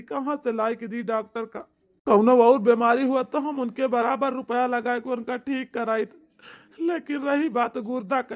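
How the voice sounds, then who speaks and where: A man playing an anxious, pleading village husband in an amateur cartoon, speaking with an Awadhi accent in a plaintive way. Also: very high voice. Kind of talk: amateur comedy cartoon dialogue in rural dialect.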